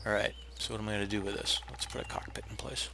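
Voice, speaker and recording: Raspy low-voiced man explaining a game build. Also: fast talker, background noise. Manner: relaxed, explanatory, with frequent uh fillers and restarts. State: unwell, hoarse. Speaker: game tutorial narrator. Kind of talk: casual step-by-step gameplay tutorial.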